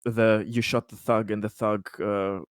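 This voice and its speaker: Halting, wry man playing a tabletop game. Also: fairly low pitch, level tone, clean tone, no room sound, clean studio sound. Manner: hesitant, halting, politely wry. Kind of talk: in-character banter at a tabletop game.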